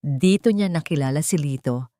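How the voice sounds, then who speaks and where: A woman's voice: low voice, moderate pace, mellow, no room sound. A woman narrating a scripted dramatic story as an audiobook, with a solemn, emotive delivery.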